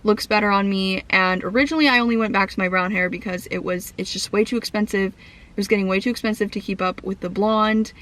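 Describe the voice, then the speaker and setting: A young woman vlogging to camera in a rambling casual chat full of fillers, her voice croaky from a lingering cough. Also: medium pitch, steady tone, fast, fairly bright tone. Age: young adult.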